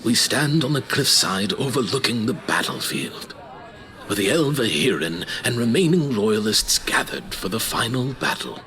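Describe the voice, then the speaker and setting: Very animated old archivist. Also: male voice, on the low side, moderate pace. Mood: grave, instructive. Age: older. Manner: hesitant, theatrical, sometimes breathy. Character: fussy, kindly old male archivist and teacher. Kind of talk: fantasy audio drama acting.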